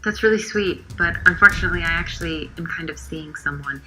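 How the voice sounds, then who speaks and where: A young American woman answers briefly in a relaxed, polite way. Her voice sits low. Loud music plays behind her. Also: fast, poor audio. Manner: relaxed and polite, short replies. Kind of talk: casual street conversation.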